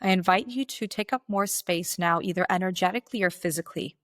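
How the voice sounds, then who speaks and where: A woman leading a guided meditation as its guide, speaking in slow, deliberate instructional phrases with pauses. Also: lowish voice, a bit bright, no echo, studio quality.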